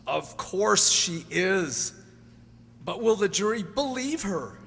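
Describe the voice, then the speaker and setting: A man's voice: high voice, big pitch swings, slow, creaky, a bit bright, exaggerated voice. A man playing a desperate accused man in a radio drama, pleading his innocence with agitation. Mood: anxious, pleading. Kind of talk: stage-style radio drama acting. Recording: noisy recording in a reverberant hall.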